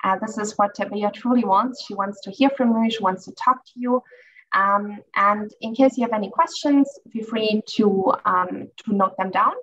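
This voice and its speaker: A woman's voice: medium pitch, moderate pace, fairly bright tone, highs cut off. Hesitant woman moderator on distant call audio. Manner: friendly, hesitant, many ums. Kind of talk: hosting an online session.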